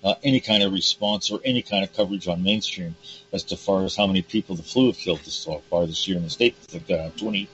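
A man hosts the conversation in a very low voice that is going hoarse. He rants with emphatic, indignant force. The audio is dull and lo-fi, with a faint hum underneath. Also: fast talker, fairly bright tone. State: hoarse, losing his voice. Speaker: host. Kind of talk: casual talk show conversation.

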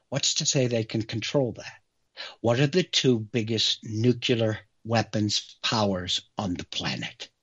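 A man with an American accent hosting a political talk show, delivering an emphatic, opinionated monologue with rhetorical questions and deliberate pauses. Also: medium pitch, husky, muffled recording.

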